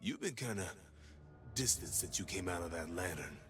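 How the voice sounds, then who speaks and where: A steadfast, devoted gunslinger in a fantasy game. His low voice has a folksy drawl and swings expressively in pitch. He sounds earnest, with music clearly behind him. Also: lively intonation, normal speed, fairly bright tone, heavily acted. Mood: teasing, caring. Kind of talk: dramatic game voice lines.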